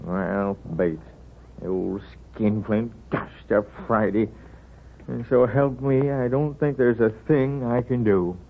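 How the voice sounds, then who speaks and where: An actor playing a wise, wry small-town family doctor in a 1930s radio drama, an American with a folksy, firm and reassuring manner. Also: male voice, mid-range voice, vocal fry, a little hiss or hum. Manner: folksy, firm, unhurried reassurance with dry humour. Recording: tinny, narrow 1930s radio recording.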